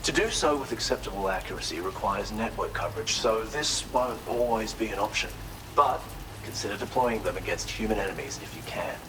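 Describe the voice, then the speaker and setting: Video game role: male actor as eccentric self-taught healer, theatrical and formal, very lively pitch, clear rain-like noise behind. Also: brisk, natural voice. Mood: confident, enthusiastic. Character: eccentric, courteous male tar therapist, enthusiastic self-taught researcher. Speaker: non-player character in a video game. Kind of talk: expressive video game character dialogue.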